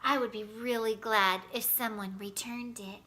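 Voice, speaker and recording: Woman as storybook father: principled, trusting, unhurried, with a bright tone. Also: on the high side, fairly even intonation. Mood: gently serious. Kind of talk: children's storybook character voice.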